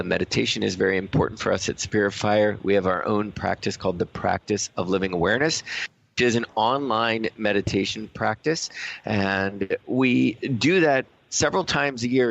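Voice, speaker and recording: A man's voice: fairly low pitch, gravelly, a bit bright, lo-fi sound. A man hosting a radio show in a conversational style.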